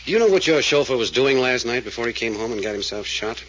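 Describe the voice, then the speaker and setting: A man's voice: mid-range voice, animated, quick pace, a bit bright, lightly acted. Guy acting as old time radio private eye, firm and probing, voice moves around a lot, bit of noise. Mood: accusing, insistent. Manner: firm, probing, matter-of-fact. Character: blunt, insistent male private investigator. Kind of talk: 1940s radio drama acting. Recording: noisy vintage radio recording.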